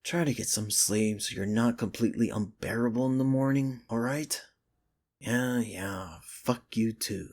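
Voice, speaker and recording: A man's voice: mid-range voice, measured pace, darkish tone. A man playing a sardonic but secretly caring office rival in an intimate ASMR romance roleplay, with dry, earnest delivery. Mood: tired, irritable. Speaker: ASMR voice actor.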